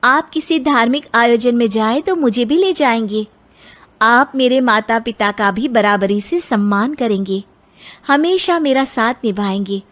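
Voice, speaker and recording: High, firm young bride, voiced by a woman. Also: lightly acted. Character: educated, outspoken young bride-to-be, indignant daughter. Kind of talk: animated moral story acting.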